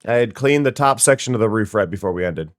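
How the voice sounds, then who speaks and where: A young adult streamer, sniffly today, chatting casually with viewers and restarting sentences often. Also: male voice, on the low side, expressive, fast talker, dry recording, studio quality. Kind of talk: casual gameplay chat.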